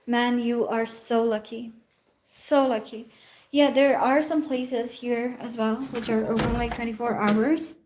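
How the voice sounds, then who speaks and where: Young woman, native Urdu speaker, casual laughing stream chatter, slightly high, walking outdoors on a phone with heavy background noise. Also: steady tone, a little slow, a little mellow, low-quality recording. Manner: chatty, laughing, self-teasing, switches into Hindi and Punjabi. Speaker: vlogger streaming live. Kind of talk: casual live-stream chat while walking. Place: outdoors at night, walking around her building.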